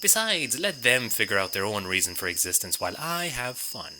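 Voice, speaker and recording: A man's voice: mid-range voice, sing-song, fairly quick, noisy background, lightly acted. Man playing a mischievous, wildly swooping imp. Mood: provocative. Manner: playful, theatrical, argumentative. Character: mischievous, contrary male imp who loves idle provocation. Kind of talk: radio play acting. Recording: music and insect chirps behind voice.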